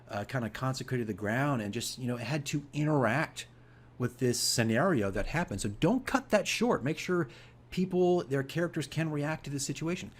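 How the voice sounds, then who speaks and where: Man, slightly low husky voice, casual explanatory monologue, emphatic, conversational, game master host. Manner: conversational, emphatic, often checks in with "right?". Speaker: dungeon master and video diary host.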